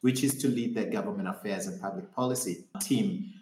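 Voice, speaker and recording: Deep-voiced man, roomy call. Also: a little mellow, a little hiss or hum. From Kenya. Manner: reflective, measured storytelling. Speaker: guest. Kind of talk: conversational interview answers. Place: home or office in Nairobi. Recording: roomy echoey remote call.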